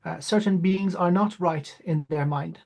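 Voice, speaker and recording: A man whose first language is Farsi, speaking as an interview guest with many "uh" pauses and emphatic repetition. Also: high voice, gravelly, darkish tone. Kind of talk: spiritual interview talk.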